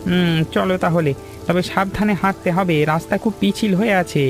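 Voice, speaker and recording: High-voiced, helpful village uncle played by a man. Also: fairly even intonation, fairly quick, soft background music. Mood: reassuring, cautious. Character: helpful, steady village uncle looking out for neighbours. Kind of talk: Bengali animated story dialogue.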